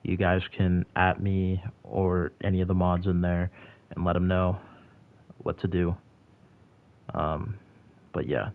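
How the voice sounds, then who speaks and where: A man's voice: deep voice, flat delivery, takes it slowly, pauses often. A man of about twenty chatting casually on a livestream and answering his chat, rambling with lots of "like" and restarts. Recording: tinny phone-like audio.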